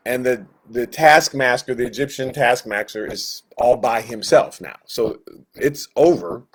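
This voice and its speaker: A man teaching Sunday school in a conversational, halting way, reading verses and explaining them, often backing up to rephrase. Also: mid-range voice. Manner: conversational, halting, backtracks and rephrases, acts out inner thoughts. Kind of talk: informal Bible lesson, reading verses and explaining.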